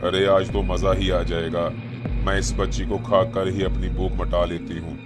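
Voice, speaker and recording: A man voicing a hungry, cruel flesh-eating witch in a horror story, in a deep, distorted, gloating voice with menace. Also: little pitch movement, normal speed, lightly acted. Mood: scheming, gloating. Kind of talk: Hindi horror storybook voice acting. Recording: loud music behind.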